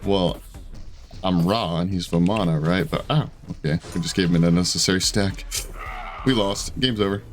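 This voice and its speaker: A man in his twenties giving casual, chatty gameplay commentary from his room, self-deprecating and quick to laugh. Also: low-pitched, soft background music. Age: 20s. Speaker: gaming streamer. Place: home room at a desk.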